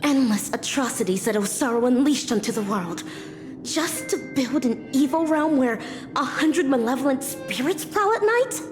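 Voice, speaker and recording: An actress playing a young ninja in a video game, solemn and dramatic, with formal, archaic phrasing. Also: female voice, high-pitched, animated, moderate pace, long reverb tail, over music. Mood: indignant. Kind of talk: theatrical video game voice acting.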